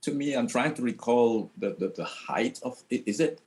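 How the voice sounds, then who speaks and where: A man asking questions as a journalist at a press conference, deliberate and rambling, often restarting his sentences. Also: medium pitch, noisy background.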